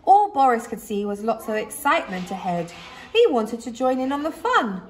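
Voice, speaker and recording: A woman reading a children's story aloud expressively, like a teacher, with dramatic pauses and emphasis. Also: fairly high pitch, very animated.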